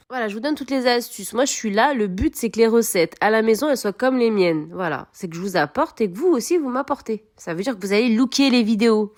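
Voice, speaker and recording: A woman talking viewers through a home baking recipe, expressive and repeating words for emphasis. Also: medium pitch. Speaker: home cooking vlogger. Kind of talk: chatty cooking tutorial.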